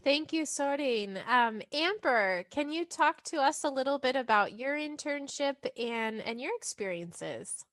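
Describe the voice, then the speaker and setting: A woman moderating a panel over a video call, soft and polite. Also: high voice, animated, unhurried, hardly any pauses.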